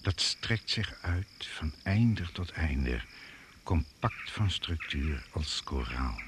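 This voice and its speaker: An actor playing a grave narrator of a jungle thriller radio drama, speaking in clipped, deliberate phrases. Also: male voice, a bass voice, very animated, background noise, full character voice. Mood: grave, suspenseful.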